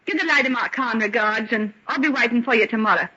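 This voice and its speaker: A woman's voice: on the high side, fast talker, pushed and bright, slight background noise. An actress playing a bitter, wronged working-class young woman with a sardonic, defiant manner in an old-time radio drama. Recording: dull, lo-fi vintage radio recording.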